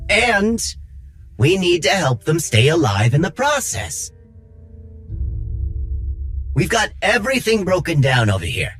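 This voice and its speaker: A kind, idealistic young rebel man in a game cutscene. He is friendly and earnest, with a lively, wide-ranging delivery and a slightly husky edge. Music sits faintly behind him. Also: high-pitched, measured pace, pauses often, heavily acted. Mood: friendly, eager. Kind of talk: video game cutscene acting.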